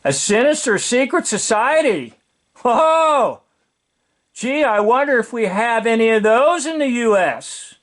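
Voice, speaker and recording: An older man with an American accent giving informal, opinionated commentary in a sardonic, deliberate way, full of rhetorical questions. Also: extremely high pitch, big pitch swings, faint music behind.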